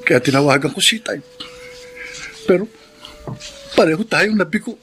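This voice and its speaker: An actor playing an emotional, remorseful younger brother in a Filipino radio drama, earnest and pleading. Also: male voice, sits high, expressive, moderate pace, a bit bright, a little hiss or hum. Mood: dejected. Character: remorseful, eager younger brother leaning on his sister.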